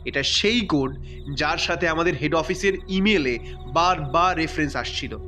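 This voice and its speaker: Alert investigator, urgent, deductive, higher pitch, audio thriller, music behind. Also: male voice, normal speed, bright, forceful tone. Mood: alert, deducing. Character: alert investigator piecing clues together. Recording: music under the voice.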